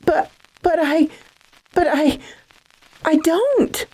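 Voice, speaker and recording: High-voiced frightened lady acted by a woman. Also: expressive, drawn-out words, dark tone, dry recording, heavily acted, rough recording. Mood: dazed, pleading. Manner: hesitant, breathless, melodramatic. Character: frightened, bewildered, tormented lady, pleading and fragile. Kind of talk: classic radio drama acting.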